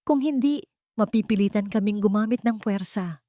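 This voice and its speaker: A woman's voice: medium pitch, brisk, mellow, voice pushed up, close to an everyday voice. A woman voicing a hard, unfeeling male corporate lawyer in a storytelling narration, stern, cold and formal.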